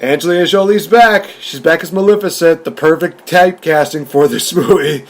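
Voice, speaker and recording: A man's voice: on the high side, moderate pace. A man co-hosting a movie review show in a casual, conversational style.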